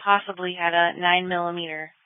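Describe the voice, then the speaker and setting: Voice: medium pitch, steady tone, fairly quick, clean tone, dry recording. A police dispatcher relaying incident details over police radio in a procedural, matter-of-fact manner. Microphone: radio dispatch microphone. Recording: tinny narrow-band police scanner audio.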